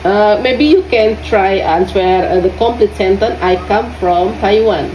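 Slow, mellow woman with an Indonesian accent. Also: mid-range voice. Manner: halting, repeats questions slowly, many pauses and fillers. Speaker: English tutor. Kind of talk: online English lesson for young students. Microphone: lesson audio recorded on a phone. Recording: roomy phone recording with faint noise.

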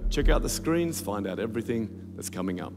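A man's voice: lowish voice, expressive. A man in his thirties with an Australian accent, speaking to a large church from the stage with a handheld mic, telling a story and then praying over the offering. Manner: storytelling, then slow prayer. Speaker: pastor giving offering message. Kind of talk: church offering talk and prayer. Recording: reverberant arena with music.

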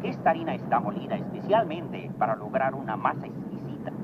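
Fast radio ad man, heavy noise. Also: medium pitch, big pitch swings. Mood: brisk selling. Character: brisk male Spanish-language radio commercial announcer. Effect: car radio. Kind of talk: 1950s radio advertisement read. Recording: muffled, very noisy car radio sound.

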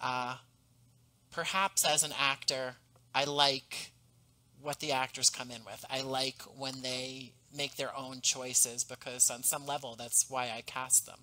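Guy in his 30s on a headset video call, bright tense voice, eager and chatty. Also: medium pitch, unhurried, rough recording. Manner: animated, enthusiastic storytelling. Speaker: podcast guest, audio drama creator. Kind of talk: casual interview. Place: home room, indoors.

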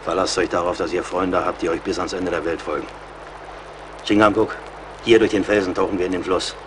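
Man acting a low-voiced, commanding frontier scout. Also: steady tone, fairly quick, close to an everyday voice. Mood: sober, resolute. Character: seasoned, relentless male frontier scout, grimly practical and loyal. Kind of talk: German radio play acting. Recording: clear battle and outdoor noise behind.

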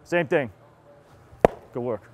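Man, Massachusetts accent, baseball coach, animated pitch, casual encouraging drill talk. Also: slow pace, heavy background noise. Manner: encouraging, short bursts of slangy praise. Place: outdoor batting cage and bullpen.

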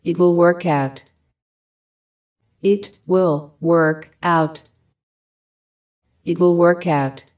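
A woman reading short English phrases for a language lesson, each one repeated with careful, even enunciation. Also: on the low side, drawn-out words, pauses often. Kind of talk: slow repeated phrase drills.

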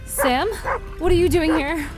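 A woman's voice: high voice, measured pace, music in the background. A woman acting an anxious, pleading young woman who leads her friends in an audio drama. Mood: panicked, pleading.

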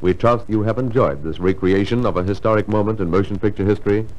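A man's voice: on the low side, fast, a little mellow, dull audio. Male vintage radio drama announcer. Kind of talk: scripted vintage radio narration.

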